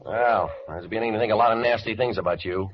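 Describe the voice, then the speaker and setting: An actor playing a cocky, wisecracking blackmailer in a 1940s radio crime drama, with clipped slangy talk. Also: male voice, fairly low pitch, quick pace, heavy background noise, natural voice. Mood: sardonic. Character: cocky, hard-boiled male blackmailer, smooth-threatening and wisecracking. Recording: muffled vintage 1940s radio recording.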